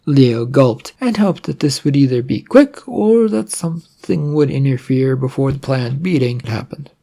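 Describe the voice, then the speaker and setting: A narrator reading a scripted adventure story in a husky, gravelly voice. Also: medium pitch, lively intonation, a little slow, dark tone. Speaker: audiobook-style narrator.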